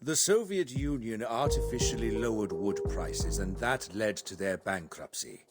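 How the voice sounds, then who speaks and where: Polite butler for a game, measured n deferential, pitch moves a lot, music loud. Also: male voice, expressive. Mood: grave, informative. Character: courteous, discreet butler serving his master. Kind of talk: scripted video game voice acting.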